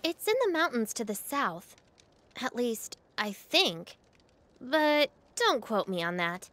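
Bright, high-voiced young mechanic. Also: female voice, sing-song, slow pace, no echo, heavily acted. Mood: confident. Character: confident, practical young woman mechanic. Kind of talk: video game voice acting.

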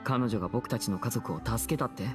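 A man playing a composed teenage older brother in an anime-style game, measured, serious and questioning, with music behind. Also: medium pitch, natural voice. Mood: stern. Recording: studio voice over game music. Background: background music.

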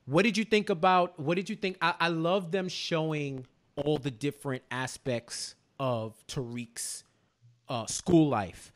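Higher-pitched man, casual podcast co-host. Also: fairly even intonation, a little slow. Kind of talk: casual TV recap discussion. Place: small home room, indoors. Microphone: close microphone on boom arm.

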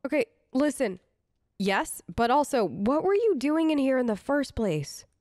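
A woman hosts a role-play game playthrough in a fairly high voice. She chats casually in character and thinks aloud, often reassuring. The sound is close and very dry. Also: big pitch swings, measured pace, darkish tone, no echo. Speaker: gaming host, role-play let's player. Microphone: close microphone, dry voice-over.

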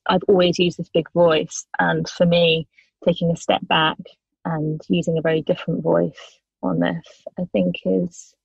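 A woman singer-songwriter in her thirties, answering interview questions thoughtfully with many pauses and restarts. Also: on the low side, vocal fry, breathy edge, dry recording, highs cut off.

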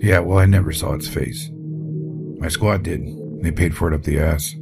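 Male actor as low-pitched, plain-spoken captain, haunted by losing his squad, audio drama, prominent music bed. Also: steady tone, normal speed, creaky. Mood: grim, uneasy. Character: shaken, foul-mouthed military captain recounting losing his squad. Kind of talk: audio drama, interview log acting. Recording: voice over loud background music.

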